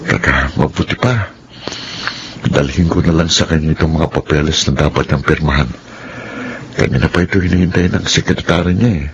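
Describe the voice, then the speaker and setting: Humble loyal worker, low voice, respectful, earnest radio drama delivery, muffled noisy audio. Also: male voice, a little fast, long pauses. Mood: musing, considerate. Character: humble, loyal, kind-hearted married working man. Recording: muffled audio with noticeable noise.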